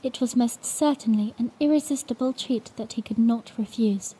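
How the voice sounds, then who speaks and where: A woman's voice: a little high, moderate pace, warm tone, husky, rough recording. A woman playing a bashful young princess, telling her own story tenderly and earnestly in a fan-made audio drama. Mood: wondering, tender.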